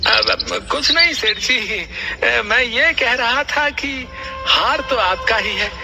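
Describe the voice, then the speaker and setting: Man playing brooding scheming goldsmith, slow, high-pitched, creaky, storybook drama, loud music. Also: expressive, exaggerated voice. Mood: humble, sly. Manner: drawn-out, hesitant, brooding. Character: resentful, scheming goldsmith, outwardly humble husband. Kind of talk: dramatised Hindi moral story.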